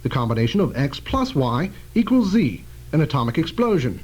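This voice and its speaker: Radio drama inventor, male actor: proud, cautious scientist, high-pitched and lively, emphatic explanations. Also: brisk. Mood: explanatory, proud.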